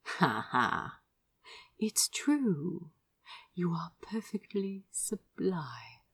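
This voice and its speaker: A woman voicing a besotted, teasing male sorcerer in an audiobook, speaking tenderly in a breathy, half-whispered murmur. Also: fairly low pitch, big pitch swings, drawn-out words, far from the mic, full character voice. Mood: adoring.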